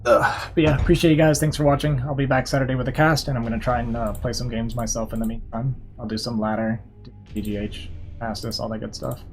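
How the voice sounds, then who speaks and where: A man's voice: mid-range voice, steady tone, fast talker. A young man streaming from his computer, chatting casually and rambling with lots of fillers, his voice worn out from talking. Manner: rambling, filler-heavy, upbeat. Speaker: streamer and caster. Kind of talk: casual livestream chat.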